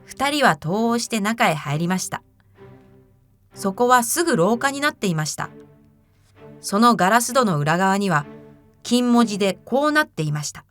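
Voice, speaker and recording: A woman reading a fairy tale as an expressive, scripted storyteller. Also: medium pitch, moderate pace, pauses often. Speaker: narrator, stage actor. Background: music.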